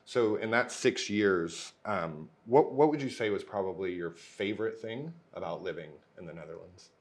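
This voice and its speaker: Host role: man, lowish voice, friendly casual interviewer. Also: animated. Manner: friendly, quick follow-up questions.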